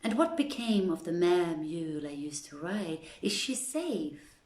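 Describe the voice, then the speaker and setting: A woman's voice: lowish voice, sing-song, measured pace, darkish tone, echoey room. A woman voicing an anxious, distraught father and master in a storybook tale, with a dramatic delivery. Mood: anxious questioning.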